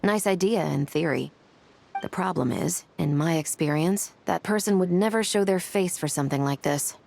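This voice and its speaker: A woman's voice: fairly low pitch, normal speed, creaky, a little mellow. A woman playing a shrewd, skeptical investigator in a video game, speaking in a dry, matter-of-fact way.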